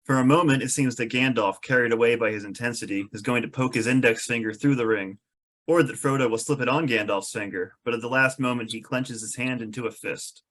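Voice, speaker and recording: Man narrating script stage directions, medium pitch. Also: fairly even intonation, brisk, fairly bright tone. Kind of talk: scripted narration at a table read.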